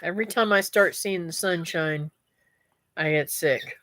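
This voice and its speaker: A woman's voice: on the low side, unhurried, a bit bright, slight background noise. A middle-aged woman, chatting casually with her live-stream viewers in a rambling way, her voice a little stuffy and nasal. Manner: chatty, rambling, talks to viewers as "guys" and "y'all". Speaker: homestead vlogger reading live comments.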